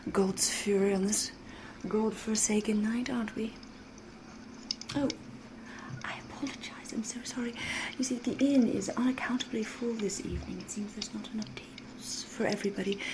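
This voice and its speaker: Woman acting breathy, flirtatious vampire lady, noisy ambience. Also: medium pitch, natural voice. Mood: dismayed, sighing, then coy, polite. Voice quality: breathy, often whispering. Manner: genteel, old-fashioned, formal phrasing. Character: flirtatious, mysterious vampire woman posing as a genteel villager. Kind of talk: whispered ASMR roleplay.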